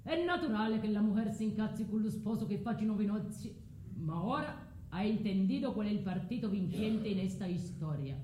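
In an echoey room with faint rumbling noise, a condescending husband holds forth as a woman acts him out in a lowered voice. He is boastful and theatrical, dropping Spanish words into his Italian as in a radio play. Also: lively intonation, a little fast, mellow, close to an everyday voice. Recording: roomy echo, low rumbling noise.

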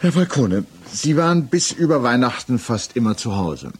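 An actor playing a stern, probing examining magistrate in a 1960s German radio play, questioning in a formal, pressing manner. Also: male voice, mid-range voice, very animated, moderate pace, over loud music, natural voice. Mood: pressing, sceptical.

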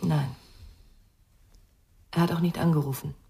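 Down-to-earth carer, very low female voice, dark, mellow, radio crime drama. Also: fairly even intonation, measured pace, vocal fry. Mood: concerned.

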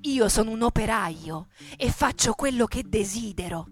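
A woman's voice: a little high, animated, moderate pace, lightly acted. A woman playing a fiery, defiant male factory worker in a radio theatre monologue, speaking colloquially in short, emphatic, repetitive phrases. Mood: defiant, firm. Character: militant male Fiat factory worker recalling the 1962 revolt, fiery, defiant.

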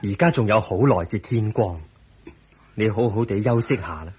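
An actor playing the Buddha as a calm, patient teacher with a measured, instructive way of speaking, in Hong Kong Cantonese radio drama style. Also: male voice, lowish voice, breathy edge. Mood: instructive, soothing.

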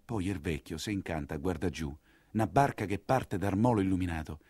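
A man with a Roman accent narrating a monologue as an actor, theatrical and expressive, in dialect storytelling style. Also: sits low, fairly quick, no room sound.

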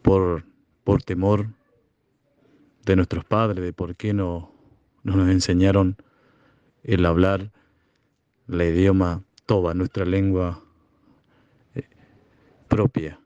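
A man from Chaco, a community broadcaster giving slow, halting, solemn testimony. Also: deep voice, level tone, mellow, dry recording, lo-fi sound. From Chaco, Argentina. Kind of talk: recorded testimony.

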